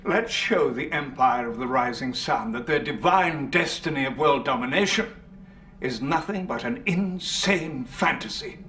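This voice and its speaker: An actor playing a commanding, self-righteous military leader in a video game cutscene, with an emphatic, declamatory delivery. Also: male voice, mid-range voice, lively intonation, unhurried, sharp tone, recorded from a distance, slight background noise. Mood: eager, resolute.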